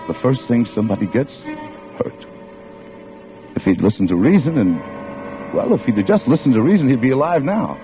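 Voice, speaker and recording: An actor playing a desperate, hot-tempered working-class man with slangy tough talk in a vintage radio thriller. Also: on the low side. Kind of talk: 1940s radio thriller acting. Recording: muffled old radio recording, dramatic music.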